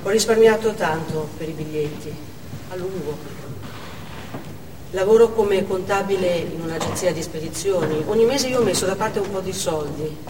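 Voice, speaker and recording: A woman playing a devoted, excitable working mother in a chatty theatrical monologue. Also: lowish voice, normal speed, close to an everyday voice. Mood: chatty, fond. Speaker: stage actor. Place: indoor theatre stage, recorded from afar. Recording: distant, echoey hall with noticeable noise.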